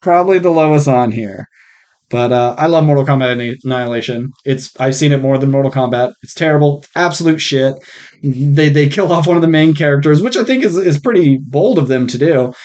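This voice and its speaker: A man co-hosting a casual film podcast, speaking in a blunt, opinionated way with casual swearing, recorded on a rough mic. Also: fairly high pitch, a little fast, darkish tone, muffled recording. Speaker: podcast co-host.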